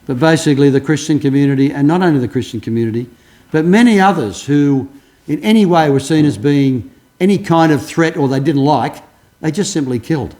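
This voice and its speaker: A man's voice: fairly high pitch, a little fast, mellow. An older man preaching a sermon as pastor, telling stories earnestly with frequent restarts. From Australia. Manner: earnest storytelling, many restarts.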